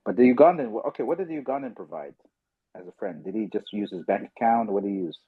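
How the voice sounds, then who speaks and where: A man hosts a casual podcast over a tinny, phone-like line. His voice sits a little low and sounds husky, and the dry sound has no room around it. He talks in a loose, chatty way. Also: very animated, fast, dry recording. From South Sudan. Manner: chatty, acts out voices when telling stories. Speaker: podcast host. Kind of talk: casual chat on a group audio call.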